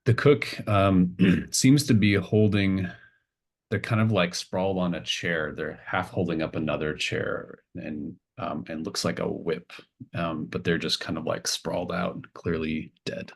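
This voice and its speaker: A man running a tabletop game as game master, improvising narration in a halting way, thinking aloud mid-sentence. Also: deep voice, fairly even intonation, vocal fry.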